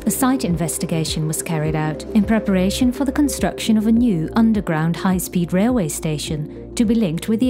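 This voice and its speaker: A woman giving a measured, clear scripted narration for a corporate explainer video. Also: medium pitch. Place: studio voice-over.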